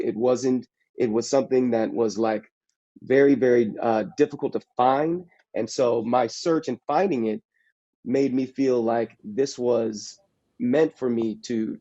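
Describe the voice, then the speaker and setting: Man, from the Bay Area, capoeira practitioner and actor, reflective, halting, mellow voice, muffled video call. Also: mid-range voice. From San Francisco Bay Area. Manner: halting, reflective, searching for words. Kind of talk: casual panel discussion.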